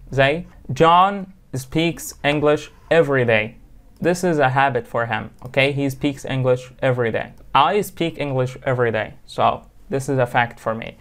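Young Egyptian man, Egyptian accent, English instructor on webcam, brisk delivery with slow, repeated example sentences, smooth voice. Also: mid-range voice. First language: Arabic. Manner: explains and repeats example sentences slowly, fast asides. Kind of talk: online grammar lesson, mixing Arabic and English. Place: small room indoors.

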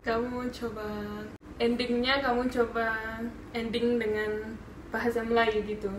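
A young woman from Singapore, a guest in a casual vlog chat, answering briefly and relaxed with frequent giggles. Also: a little high, unhurried, reverberant, noisy background. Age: young adult. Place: small bedroom, indoors.

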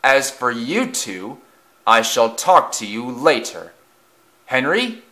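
A man's voice: medium pitch, big pitch swings, takes it slowly, sharp tone, full character voice. A man voicing a stern, authoritative railway director in a children's story, speaking formally and commandingly.

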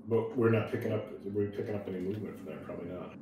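A man's voice: on the low side, fairly even intonation, very fast. A man talking casually as a player in a tabletop roleplaying game. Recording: very echoey room, clear noise.

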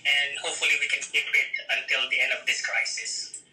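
A man's voice: mid-range voice, steady tone, fairly quick, faint music behind. A middle-aged man, a care administrator, answering interview questions over a phone video call. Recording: sharp, roomy, distant video-call audio.

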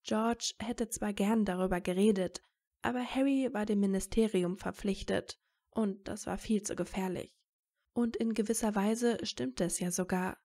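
Woman narrating a story, mid-pitched. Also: normal speed, mellow. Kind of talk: scripted narration of a fan fiction story.

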